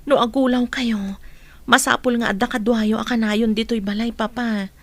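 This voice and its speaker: Lady doing a remorseful devoted daughter, ilocano radio play, high pitched n emotional. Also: little pitch movement, moderate pace, a bit bright, dry recording. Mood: gentle, caring. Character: devoted, remorseful grown daughter and young mother. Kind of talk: Ilocano radio drama acting.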